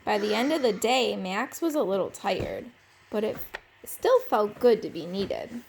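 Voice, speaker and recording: Lady teacher reading a picture book to little kids, takes it slow, some creak, expressive. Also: medium pitch, slight background noise, rough recording. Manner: expressive, simple phrasing for young children. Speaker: teacher reading aloud to children. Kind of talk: picture book read-aloud.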